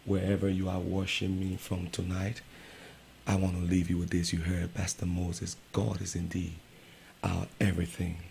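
A middle-aged male host from Liberia, preaching to viewers from a small radio studio into a boom mic, building emphasis as he goes. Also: monotone, normal speed, creaky, a little mellow, a little hiss or hum. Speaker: radio show host, pastor. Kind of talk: exhortation to viewers.

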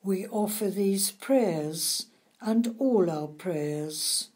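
Slow, mellow-voiced woman leading prayer. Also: on the low side. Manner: measured, reverent, deliberate. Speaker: lay prayer leader. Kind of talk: church intercessory prayer, read aloud.

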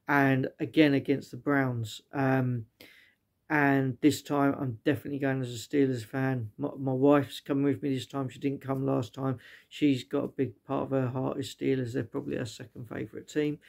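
Middle-aged man, British accent, gravelly creak, mid-pitched, chatty and rambling, casual vlog review. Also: a little mellow. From Britain. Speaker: vlogger reviewing stadiums as a fan. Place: small living room indoors.

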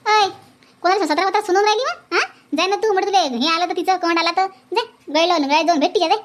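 A woman's voice: extremely high pitch, quick pace. A woman voicing a jealous, boastful middle-aged village aunt in a comic cartoon, with a Varhadi Marathi accent and a nosy, scornful manner. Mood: scornful, spiteful. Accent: Varhadi Marathi from Vidarbha. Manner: nosy, scornful, muttering to herself.

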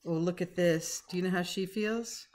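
A middle-aged woman, a teacher, doing an expressive, interactive picture-book read-aloud with chatty asides to the children. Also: lowish voice, moderate pace, slight background noise. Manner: expressive, dramatic when reading, conversational asides to the children. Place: small living room at home, indoors. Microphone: webcam or laptop at arm's length.

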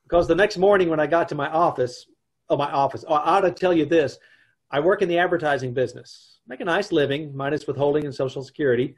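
A man's voice: on the high side, fast talker, slightly rough. A middle-aged man playing a dry, wry, put-upon advertising man and husband in an old-time radio comedy, sometimes stammering. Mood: wry narration. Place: indoors at home on a video call.

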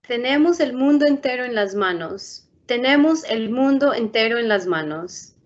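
Mulher aguda lendo conto em chamada. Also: female voice, fairly high pitch, animated, normal speed. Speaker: outreach storytime host. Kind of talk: bilingual children's book reading. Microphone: laptop webcam on video call. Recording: muffled video call audio.

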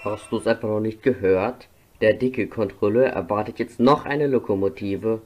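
A man's voice: lowish voice, moderate pace, dull audio, faint music behind, close to an everyday voice. A man voicing a proud, grumbling, self-important steam locomotive in a pompous, complaining way for a cartoon fan dub. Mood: indignant.

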